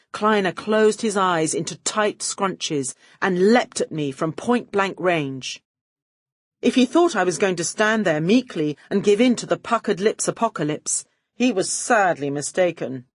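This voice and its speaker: A woman's voice: on the low side, dry recording, studio quality. A woman reading a first-person detective story as an audiobook narrator, with a wry, arch and poised delivery.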